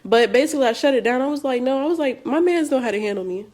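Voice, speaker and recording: Woman in her twenties, casual couple chat, slangy, animated storyteller. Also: high voice, rapid-fire. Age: 20s. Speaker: co-host.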